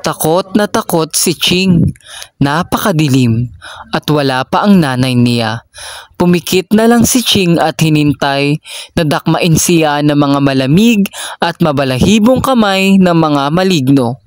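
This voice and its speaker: A person reading a children's story aloud in a measured, deliberate storytelling manner. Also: mid-range voice, animated, dry recording, clean studio sound.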